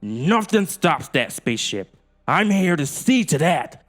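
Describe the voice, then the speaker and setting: A man voicing a stubborn, gruff guard in a children's storybook, with a blunt, forceful manner. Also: a little high, big pitch swings, a little slow, faint music behind, voice pushed up. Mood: stubborn, dismissive.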